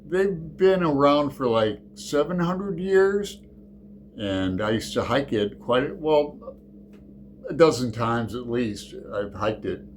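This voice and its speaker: Slow, rambling middle-aged man. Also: mid-range voice, lively intonation, slight background noise. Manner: rambling, digressive, halting with fillers and self-corrections. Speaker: book channel host. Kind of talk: casual unscripted book vlog.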